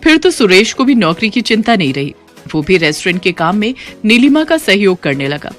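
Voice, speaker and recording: Woman narrating a moral story, soft music. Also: medium pitch, very animated, a little fast.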